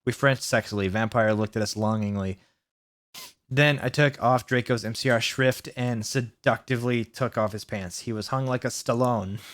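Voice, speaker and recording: A man's voice: mid-range voice, moderate pace. A man hosting a comedic dramatic read-aloud, stumbling over words and breaking into exasperated asides, sounding mentally worn out. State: mentally worn out from long reading. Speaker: YouTube host reading fan fiction aloud.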